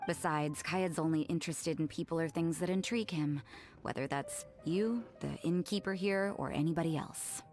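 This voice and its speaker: Woman acting world-weary rebellious nun, low voice, dry, blunt, video game dub, background music. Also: moderate pace, natural voice. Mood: blunt, unbothered. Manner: dry, deadpan, unbothered.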